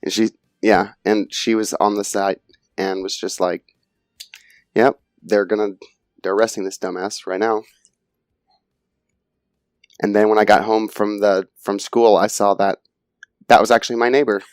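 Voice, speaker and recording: A man's voice: fairly low pitch, flat delivery, unhurried, long pauses, dry recording, studio quality. A man co-hosting a podcast, telling a story in a casual, laid-back way with fillers and swearing. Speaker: podcast co-host. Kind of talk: casual chat.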